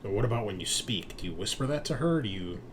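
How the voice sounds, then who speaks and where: A man narrating a tabletop game as game master in an informal, halting way. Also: on the low side, animated. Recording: noisy room recording.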